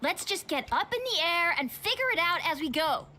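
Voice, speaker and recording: A bubbly, high-voiced young friend. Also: female voice, expressive, a little fast, sharp tone, a little hiss or hum, exaggerated voice. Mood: coaxing. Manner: bubbly and insistent. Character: eager young woman, pushy loyal best friend. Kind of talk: video game voice acting. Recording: game music underneath.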